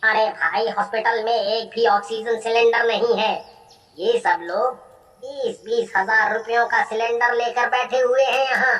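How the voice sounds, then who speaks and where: A woman's voice: a little high, fairly even intonation, a little slow, fairly bright tone. Echoey, blunt security guard, played by a woman. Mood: brusque. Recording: echoey, distant from the mic.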